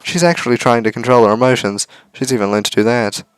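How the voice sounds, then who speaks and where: A man reading a visual novel aloud as a let's-play host, with measured, earnest narration. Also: medium pitch, steady tone, clear, resonant tone, no echo. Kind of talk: dramatic read-aloud narration with casual asides.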